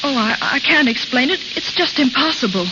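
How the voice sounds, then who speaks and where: A hissy, muffled old broadcast carries a guarded young woman with a fairly high voice. She plays a society lady hiding a secret, in 1940s radio drama manner. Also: lively intonation, fairly quick. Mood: troubled, evasive.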